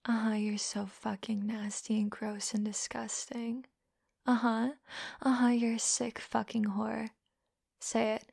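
A young woman playing a teasing, domineering woman who mocks a listener, close to the mic, shifting between soft hushed whispers and louder taunts. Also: fairly high pitch, level tone, a little slow, vocal fry, no echo, full character voice. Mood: mocking.

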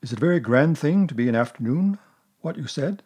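A man voicing a gentle, slow-witted toy bear, speaking softly and humbly in a husky voice. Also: fairly high pitch, animated. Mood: puzzled.

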